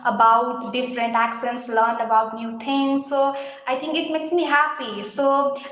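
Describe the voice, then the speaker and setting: A woman's voice: a little high, level tone, unhurried, a bit bright, echoey room, poor audio. A woman with an Indian accent, speaking hesitantly as an English learner in a casual conversation, with many fillers and restarts. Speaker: English learner being interviewed.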